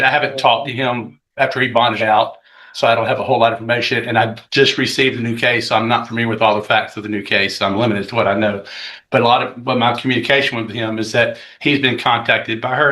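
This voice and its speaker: A man with a bright, forceful voice argues as a defense attorney in a courtroom. He speaks haltingly, doubling back and repeating words as he builds his plea. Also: medium pitch, rough recording. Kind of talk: courtroom argument.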